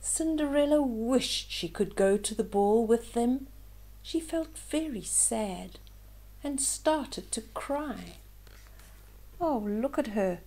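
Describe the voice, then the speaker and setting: Slow, mellow woman storyteller. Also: fairly high pitch. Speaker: children's storyteller. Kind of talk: storybook read-aloud with asides to young listeners.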